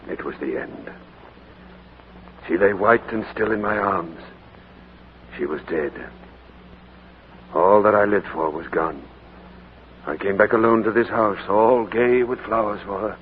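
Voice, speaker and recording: An actor in a 1940s radio drama playing a grieving older man who speaks in a hushed, sorrowful way. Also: low-pitched, a little hiss or hum. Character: grieving older man recalling lost love. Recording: tinny old radio broadcast with music.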